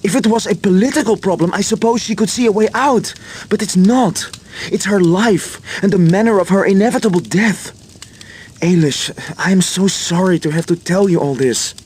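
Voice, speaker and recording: A man plays a guilt-ridden inventor in a video game story, pitched noticeably high for a male. He speaks earnestly and apologetically, owning up to betrayal. Faint ambient noise sits behind him. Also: normal speed, a little mellow, lightly acted. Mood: sombre, sympathetic. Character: remorseful male inventor who betrayed his friends. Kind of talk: video game cutscene acting.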